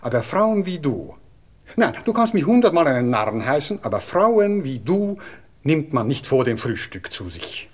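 An actor playing an affected, melancholic aristocratic cavalry officer in a radio play, with a Viennese accent and a polite, hesitant, rambling way of talking. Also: male voice, fairly high pitch, big pitch swings, normal speed. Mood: evasive, musing. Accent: Viennese Austrian.

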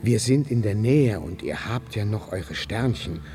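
An actor playing a brisk, bossy but kindly sandman with a commanding, theatrical way of talking in a children's radio play. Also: fairly low pitch, lively intonation, warm tone. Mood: fond farewell.

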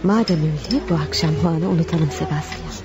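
A woman's voice: sits low, brisk, dull audio. A woman acting in a radio play as a seductive, somewhat impatient unfaithful wife with a coaxing manner. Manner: coaxing, flirtatious.

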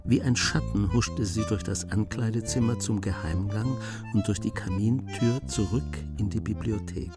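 Creaky low male narrator over music. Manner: measured storytelling. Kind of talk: scripted radio drama narration.

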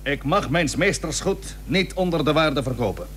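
An actor playing a plucky, stubborn young seafarer and merchant in a period radio drama, speaking earnestly in formal old-fashioned phrases. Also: male voice, a little high, animated, normal speed, a bit bright. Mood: firm, unyielding. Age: young adult. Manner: earnest, formal archaic speech. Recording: noisy old radio recording with hum.

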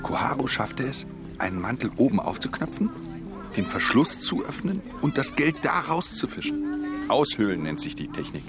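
An actor playing a world-weary, guarded pickpocket in a radio play, speaking and narrating in a laconic, restrained way. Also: male voice, mid-range voice, very animated, normal speed, over music. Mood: matter-of-fact, resigned. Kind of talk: radio drama dialogue and introspective first-person narration.

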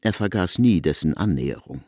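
A man's voice: sits low, lively intonation, a little fast, warm tone, dry recording, studio quality. A man narrating a literary radio drama in a formal, articulate storytelling manner.

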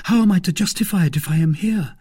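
Audiobook heroine: male reader as young wife in love, raised pitch, husky, breathy, earnest and pleading. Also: fast, mellow, dry recording. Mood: alarmed. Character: anxious, earnest young married Frenchwoman in love.

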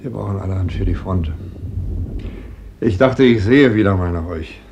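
A man's voice: sits low, normal speed, darkish tone. A man playing a plain-spoken visiting worker in a radio play, asking short, probing questions. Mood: casual, probing. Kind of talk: German radio play acting. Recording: muffled, noisy old radio recording.

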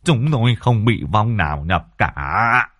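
A man's voice: fairly low pitch, expressive, fairly bright tone, no echo, clean studio sound, heavily acted. A man voicing a terrified old village husband who stammers and halts over his words. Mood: fearful pleading. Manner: stammering, halting.

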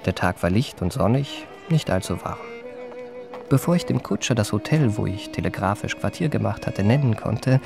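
Memoir narrator for radio drama: low, gravelly voice, polished and urbane, measured literary storytelling with music beneath. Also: male voice, lively intonation. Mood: composed, urbane. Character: elegant male impostor narrating his own memoirs, polished and self-regarding. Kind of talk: literary first-person narration in German radio play. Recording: radio play with music underneath.

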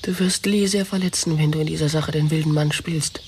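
A grieving, reproachful woman in an intimate radio drama. Her voice is hushed and creaky. Faint ambient noise is behind her. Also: deep voice, steady tone, a little fast. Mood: sad, reproachful.

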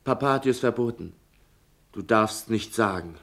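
A man's voice: fairly low pitch, moderate pace, a bit bright. A young man acting an earnest, persistent schoolboy son in a German radio drama, asking with urgency. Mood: anxious, pleading. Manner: urgent, probing questioning.

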